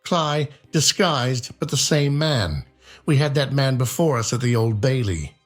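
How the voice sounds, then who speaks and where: A man voicing a sharp, sardonic English gentleman, deliberate and cutting. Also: mid-range voice, lively intonation, faint music behind, pitched above the performer's own voice. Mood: musing, sharp. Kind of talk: audiobook character reading.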